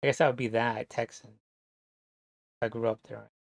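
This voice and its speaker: A man from New Jersey talking to camera in a rambling, casual monologue, halting and full of fillers, swearing now and then. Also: medium pitch, little pitch movement, vocal fry, no room sound. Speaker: vlogger.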